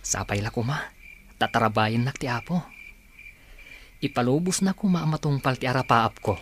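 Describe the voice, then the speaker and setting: A man's voice: medium pitch, lively intonation, normal speed, a little hiss or hum, lightly acted. Earnest young son in a radio soap played by a man. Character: dutiful, hopeful grown son with big dreams.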